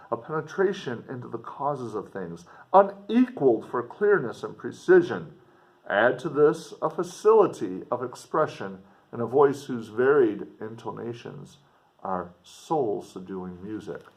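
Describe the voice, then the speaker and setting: Guy reading an old novel out loud like a teacher, medium pitch, leans on key words, pauses for effect. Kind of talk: expressive literary read-aloud with teaching asides.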